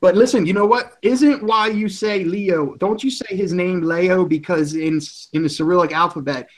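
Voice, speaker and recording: A man's voice: high-pitched, moderate pace. A man with a Philadelphia accent, hosting a casual group chat and rambling along as he talks. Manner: rambling, chatty, self-correcting. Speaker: livestream host.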